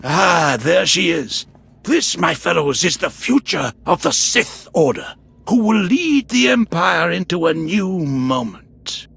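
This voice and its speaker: Pompous, high-voiced Imperial commander. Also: male voice, very animated, slow, creaky, fairly bright tone, husky, heavily acted. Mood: proud. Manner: grandiose, showman-like. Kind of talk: video game voice acting.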